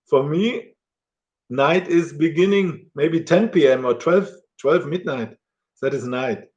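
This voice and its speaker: A middle-aged German man talking casually to camera in halting, self-correcting English with a German accent. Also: a little high, darkish tone, lo-fi sound.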